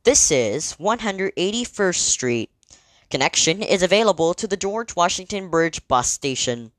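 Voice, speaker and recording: A woman delivering scripted train announcements in a measured, formal, clearly segmented manner. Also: lowish voice, sing-song, no echo.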